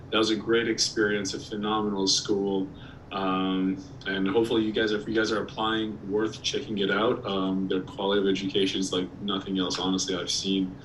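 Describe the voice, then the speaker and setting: A man from Iraq, a periodontist giving a casual presentation over a video call, slightly hoarse and talking with many ums and restarts. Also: on the low side, a bit bright. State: slightly hoarse, clearing throat. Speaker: periodontist guest speaker. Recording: distant mic with faint background noise.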